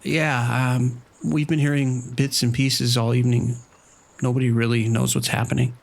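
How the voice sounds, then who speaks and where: An actor playing a harried casino manager in an audio drama, anxious and hesitant, with a pleading way of talking. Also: male voice, mid-range voice, level tone, moderate pace, gravelly. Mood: nervous. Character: nervous, harried casino manager, male, owing money.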